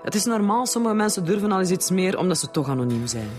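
A woman's voice: on the low side, very animated, quick pace, a little mellow, soft background music. A woman playing a defensive, indignant wife with a Flemish accent in a radio drama. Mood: defensive, exasperated. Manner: indignant, dismissive. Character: defensive, exasperated married woman questioned by police. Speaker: actor in a radio detective serial.

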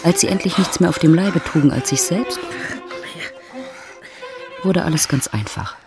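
A man's voice: a little high, moderate pace, over music, close to an everyday voice. A man narrating a love story in a literary audio drama. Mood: composed narrating.

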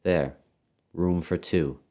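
A man voicing a despairing, sullen new father with a flat, taut, bitterly sarcastic delivery, in a story reading. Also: deep voice, takes it slowly. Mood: grim, quiet.

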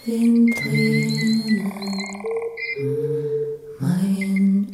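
A woman sings a melancholy art song in slow, drawn-out phrases. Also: on the high side, level tone. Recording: echoey, music behind. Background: music.

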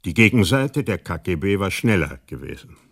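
A former embassy security chief tells the story in a radio play. His low voice is measured and world-weary, with wry, pointed pauses. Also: sing-song, exaggerated voice. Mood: grave recounting. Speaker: narrator. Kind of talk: radio drama narration.

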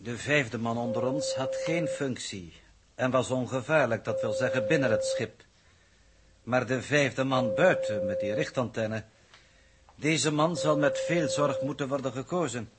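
A man's voice: medium pitch, natural voice. Cool, formal mission coordinator on noisy radio man acting. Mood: cool, grave. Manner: formal, deliberate. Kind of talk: 1960s Dutch radio drama acting. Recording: noisy old radio drama recording.

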